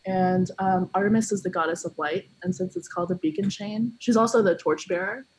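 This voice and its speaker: A woman's voice: fairly low pitch, steady tone, fairly quick, a little mellow, slightly rough, poor audio. A woman who is a little sick, giving a developer team update on a video call. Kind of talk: status report on a video call.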